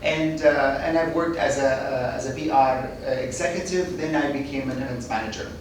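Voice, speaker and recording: A man's voice: medium pitch, moderate pace. Male podcast guest, thirties, from Kuwait; rambling, laughing storyteller recorded distant in a noisy echoing room. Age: 30s. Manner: chatty, restarts and stumbles, laughs often. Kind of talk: casual interview chat.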